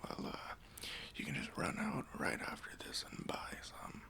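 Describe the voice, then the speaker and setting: A man's voice: a little slow, bright, forceful tone. Whispering, stingy male boss played by a man. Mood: condescending, fussy. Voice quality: breathy whisper. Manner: hesitant, condescending, passive-aggressive politeness. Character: stingy, demanding male boss giving a job interview. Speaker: ASMR roleplay performer. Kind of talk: whispered ASMR roleplay. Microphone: close microphone.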